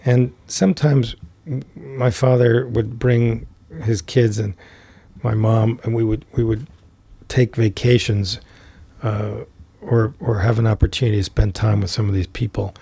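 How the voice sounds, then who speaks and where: A middle-aged man guesting on a podcast, telling a reflective story in an unhurried, thoughtful way with many fillers and restarts. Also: medium pitch, little pitch movement, gravelly, mellow, slightly rough. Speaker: podcast guest, tai chi teacher.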